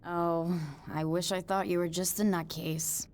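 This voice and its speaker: A woman's voice: lowish voice, flat delivery, a little slow, darkish tone, close to an everyday voice. A woman as a chatty new-age mystic. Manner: chatty, breezy, airy, eccentric. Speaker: motel resident, self-declared psychic. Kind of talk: game cutscene acting.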